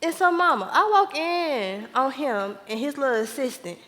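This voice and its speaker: An actress plays an exasperated grown daughter and wife in a stage play, American, high-voiced and very animated. She pleads with dramatic emphasis, her voice ringing around an echoey theater hall. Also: moderate pace. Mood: indignant. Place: live stage in a reverberant hall.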